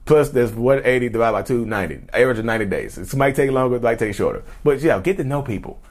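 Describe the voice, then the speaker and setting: A man's voice: medium pitch, animated, fast talker. A man talking casually to camera, chatty and rambling, who keeps calling his viewers y'all and wanders off into sidebars. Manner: repeats and restarts, drifts into sidebars. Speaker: YouTuber talking about narcissism. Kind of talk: casual direct-to-camera talk.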